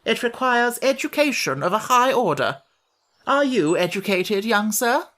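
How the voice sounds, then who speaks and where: A man's voice: sits high, very animated, a little slow, sharp tone, pitched above the performer's own voice, heavily acted. A man voicing a pompous, over-educated male insect who lectures stiffly and firmly.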